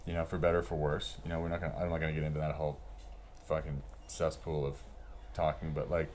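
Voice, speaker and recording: Man, deep voice, laid-back and sweary storyteller in a casual chat, noticeable background noise. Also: level tone, fast talker, a little mellow. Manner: slangy, profane, laid-back. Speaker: podcast guest, veteran pro snowboarder.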